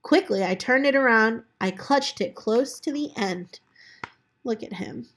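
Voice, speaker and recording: A woman reading a children's book aloud in an expressive way, as a storyteller talking to children. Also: on the high side, measured pace. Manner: animated character voices for dialogue, chatty asides to children.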